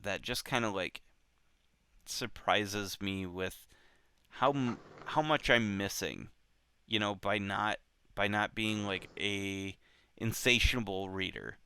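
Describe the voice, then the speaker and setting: A man hosting a book club show, talking casually and unscripted in a halting way, often repeating himself. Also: fairly low pitch, pushed and bright, clean tone, no room sound.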